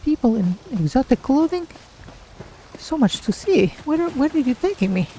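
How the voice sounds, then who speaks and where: A woman's voice: sits high, very animated, moderate pace, dark tone, a little hiss or hum, poor audio. A woman voicing a curious, naive cat-folk adventurer, musing aloud in careful, formal phrases as she plays a game. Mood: curious, excited. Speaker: streamer voicing own game character. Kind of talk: in-character game playthrough narration.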